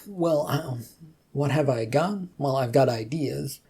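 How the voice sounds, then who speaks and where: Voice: mid-range voice, takes it slowly, dark tone, slightly rough. A narrator playing a put-upon male office IT technician who explains things hesitantly in a story reading. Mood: flustered.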